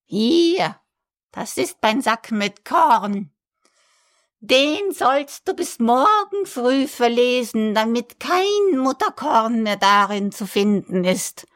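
A man voicing a cruel, greedy old witch with a shrill, cackling voice. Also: big pitch swings, slow, a bit bright, no room sound, clean studio sound, exaggerated voice. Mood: menacing.

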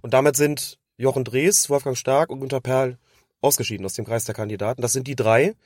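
A man, hoarse from a cold, giving long analytical explanations as a podcast co-host. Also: mid-range voice, steady tone, a little fast, darkish tone, dry recording, clean studio sound. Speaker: podcast co-host discussing refereeing. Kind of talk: casual podcast chat.

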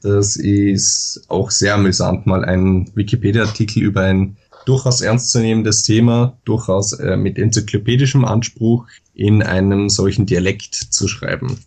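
A low-voiced Austrian man from the far west of the country speaks as a podcast guest. He explains things at length in careful standard German and pauses often with filler sounds. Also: steady tone, darkish tone. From Vorarlberg, western Austria. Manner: measured, explanatory, with many hesitations. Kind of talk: podcast interview.

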